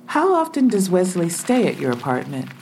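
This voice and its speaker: Policewoman, dark low voice, matter-of-fact, probing, audio drama interrogation style. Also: wildly swooping pitch, normal speed, gravelly, slight background noise, full character voice, poor audio. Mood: probing, businesslike. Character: businesslike, probing female police officer.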